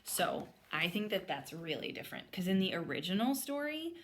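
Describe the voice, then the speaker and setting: Animated woman teacher reading to children. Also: on the low side, normal speed. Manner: explains step by step, thinking aloud. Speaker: elementary school teacher. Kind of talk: storybook read-aloud and lesson for children.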